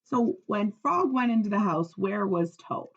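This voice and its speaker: A woman reads aloud like a teacher with young children. Her pitch moves around a lot and her pace is unhurried, with questions to check they follow. The recording is slightly dull. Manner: expressive, instructive, checking understanding.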